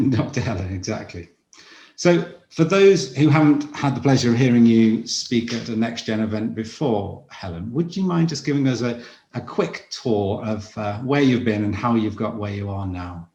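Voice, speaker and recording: A man's voice: medium pitch, normal speed, darkish tone. A man hosting a webinar, introducing his guest in a friendly, easygoing way over a video call. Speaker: webinar host. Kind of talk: interview introduction. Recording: dull, roomy video call audio.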